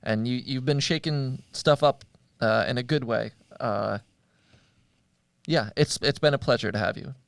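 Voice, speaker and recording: A man hosting a podcast in a casual, conversational way, with halting, hesitant phrasing. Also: mid-range voice, gravelly, no echo, studio quality. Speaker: podcast host.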